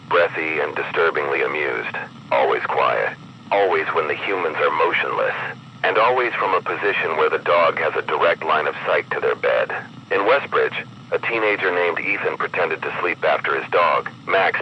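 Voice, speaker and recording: A man reading a scripted horror emergency broadcast as an announcer, in a grave, measured, ominous manner. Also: extremely low pitch. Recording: muffled broadcast with clear background noise.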